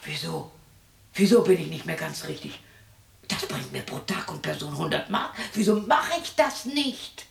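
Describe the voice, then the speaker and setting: A woman's voice: deep voice, expressive, moderate pace, creaky, far from the mic, full character voice. An actress playing a lonely, bitter widow in her sixties in a radio play, dramatic and defiant, heard in a roomy echo away from the mic. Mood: sarcastic, scornful. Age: 60s. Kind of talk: radio play monologue acting.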